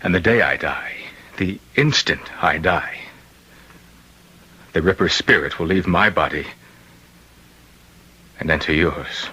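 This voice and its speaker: An actor playing a gravely ill, solemn and insistent man who believes a killer's spirit possesses him, in old-time radio drama style. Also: sits low, slow, long pauses, bright, forceful tone, exaggerated voice. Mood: solemn, ominous.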